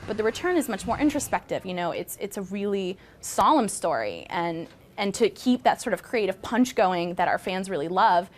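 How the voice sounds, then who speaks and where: A woman's voice: medium pitch, big pitch swings, normal speed, hardly any pauses. A woman talking casually as a production team member in an interview. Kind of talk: casual behind-the-scenes interview.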